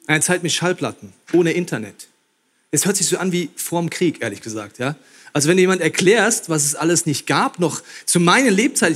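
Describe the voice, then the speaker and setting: Joking, lively man preaching. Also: a little high. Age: 30s. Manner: lively, joking, addresses audience directly. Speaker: pastor. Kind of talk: sermon. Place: church hall before a live audience.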